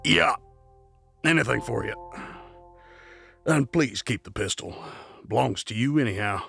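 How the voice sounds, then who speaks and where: A man playing a grieving, guarded outlaw in a scripted audio drama, speaking in a measured, somber way. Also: mid-range voice, expressive, creaky, fairly bright tone, exaggerated voice. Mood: tender, subdued. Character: grieving male outlaw of a heist crew, guarded. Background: music.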